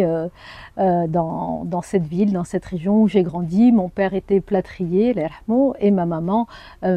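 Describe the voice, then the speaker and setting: A French woman in her forties, a journalist and anthropologist, telling her life story in an interview with thoughtful pauses and hesitations. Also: on the low side, no echo, dull audio. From France. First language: Arabic. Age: 40s. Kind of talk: personal interview.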